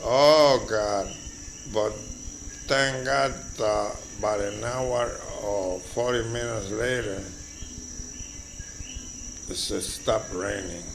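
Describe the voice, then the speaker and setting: Slow elderly man. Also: lowish voice. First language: Spanish. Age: 80s. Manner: rambling, halting with fillers and chuckles. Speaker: interviewee. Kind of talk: slow storytelling reminiscence. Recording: noisy with crickets.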